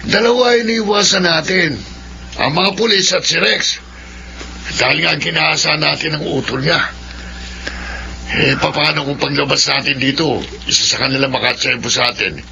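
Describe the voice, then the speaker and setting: A shifty young Filipino thug in a radio drama, quick to flare up. His fairly high voice crackles with gravel, on a muffled recording. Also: pushed and bright, heavily acted. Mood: grumbling. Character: shifty, hot-headed young male thug in hiding. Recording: dull, noisy lo-fi radio.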